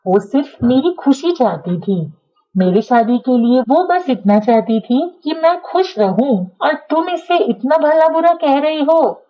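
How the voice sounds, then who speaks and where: A woman acts out a male crow, a husband in a cartoon tale. He speaks in a fairly high voice, earnest and firm as he reasons. The audio is a bit dull. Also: expressive, measured pace. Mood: firm, protective.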